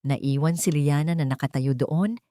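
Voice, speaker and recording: Low-pitched woman narrating dramatic fiction. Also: moderate pace, mellow, dry recording, clean studio sound. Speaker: story narrator.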